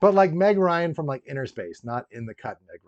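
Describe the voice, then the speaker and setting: A man with a slightly high voice hosts a casual live stream for collectors. He rambles along, tossing in joking asides as he chats with viewers. The sound is roomy and a little dull and lo-fi. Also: big pitch swings, a little fast, darkish tone. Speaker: live stream host, toy collector unboxing a figure.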